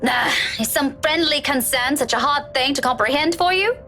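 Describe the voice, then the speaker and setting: A woman's voice: high-pitched, moderate pace, sharp tone, slight background noise. A woman voicing a feisty, blunt young woman in a visual novel, speaking indignantly. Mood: exasperated. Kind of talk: dramatic game voice acting.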